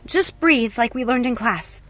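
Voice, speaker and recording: A woman's voice: sits high, fairly quick, voice pushed up. A woman voicing a protective older sister, pleading and urgent as she looks after her sibling. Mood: coaxing. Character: protective older sister, coaxing, then frantic with grief.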